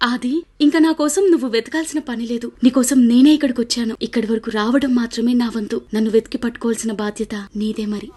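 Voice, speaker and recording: A woman's voice: on the high side, fairly even intonation, fast, darkish tone, faint music behind, natural voice. A woman playing a sweet-voiced, mysterious young woman in a scripted romantic voice-over. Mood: coy, inviting.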